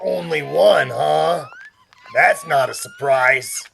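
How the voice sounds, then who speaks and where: An actor playing a gruff, sardonic man with a gravelly voice in a 1940s-style radio comedy. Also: a little high, lively intonation, slow, fairly bright tone, a little hiss or hum, exaggerated voice. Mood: gruff, dry.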